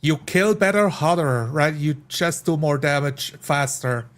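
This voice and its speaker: Guy with a slightly high voice chatting tabletop tactics, asks questions to make his point. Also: lively intonation, measured pace. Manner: explains through rhetorical questions. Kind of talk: casual discussion of game tactics.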